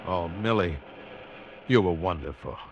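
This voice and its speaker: An actor playing a weary, stunned adventure hero in a 1940s radio drama, intense yet tender. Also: male voice, low-pitched, animated, a little slow, lo-fi sound. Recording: noisy old radio recording.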